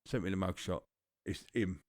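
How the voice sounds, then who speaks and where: A man's voice: deep voice, normal speed, no echo, studio quality. Sweary London man telling stories. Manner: swearing, rambling anecdotal storytelling. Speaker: podcast co-host. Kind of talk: casual storytelling chat.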